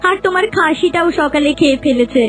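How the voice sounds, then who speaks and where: A woman as an anxious cartoon village woman, high pitch, complaining, plaintive, music behind. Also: fast talker, lightly acted. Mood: upset, alarmed. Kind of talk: children's cartoon dialogue.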